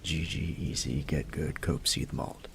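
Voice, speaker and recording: A man acting as a teasing, affectionate young roommate in an intimate audio roleplay, murmuring in a gravelly, breathy half-whisper. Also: rumbling low, steady tone, noisy background, heavily acted. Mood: playful, teasing. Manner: playful, unhurried, murmured delivery.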